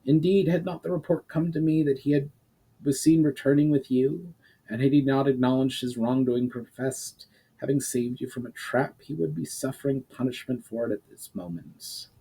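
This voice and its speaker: A man voicing a fatherly fantasy duke in a storybook reading, firm, formal and concerned, with measured gravity. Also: mid-range voice, fairly even intonation, vocal fry, mellow, husky, pitched above the performer's own voice, rough recording. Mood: stern.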